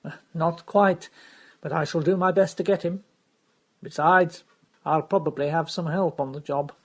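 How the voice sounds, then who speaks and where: A steady, polite police detective who answers plainly and without fuss, as a woman reads him. The voice is lowish and husky, close to the reader's own. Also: normal speed.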